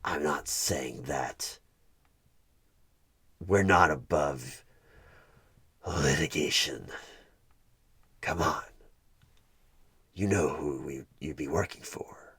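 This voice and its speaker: A man playing a sinister, smug devil of a recruiter in a horror ASMR roleplay, coaxing and condescending, with a gravelly voice that often slips toward a whisper. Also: very deep voice, a bit bright, full character voice. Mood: coaxing, menacing. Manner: slow, deliberate, coaxing, condescending. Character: sinister devilish male recruiter, smug soul-buying tempter. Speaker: roleplay performer playing a job interviewer. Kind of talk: one-sided horror ASMR audio roleplay.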